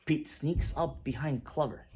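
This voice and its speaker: Lady reading a kids book out loud, lowish husky voice, really expressive. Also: measured pace. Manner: expressive, with emphatic swings and hushed drops. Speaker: storyteller reading aloud. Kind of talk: animated children's picture-book read-aloud.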